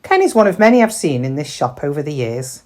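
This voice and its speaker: An actress playing a middle-aged British shop worker in a confiding, wry, conversational monologue, kind but quietly weary. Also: female voice, low-pitched, big pitch swings, a little fast. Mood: wry, chatty. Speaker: actor in a dramatic monologue.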